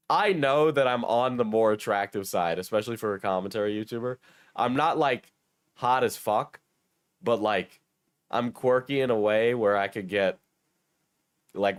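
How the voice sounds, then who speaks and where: Hoarse, sick young man streamer, dry mic. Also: on the low side, animated, unhurried, no room sound. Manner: joking, self-mocking, swears. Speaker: streamer reading chat. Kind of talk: casual livestream chat.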